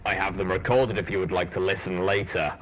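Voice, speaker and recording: A man voicing a grim, bitter ghoul soldier pony with a rumbling voice in an audiobook, slow and blunt, over background noise. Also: fairly even intonation, lightly acted. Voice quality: rumbling, low. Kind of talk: audiobook character voice.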